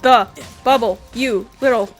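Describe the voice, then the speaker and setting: A woman with a fairly high voice plays a video game and talks along casually. She reacts and reads out lines, with some music behind her. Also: a little slow. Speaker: gamer narrating a playthrough. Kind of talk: casual gameplay commentary, reading dialogue aloud.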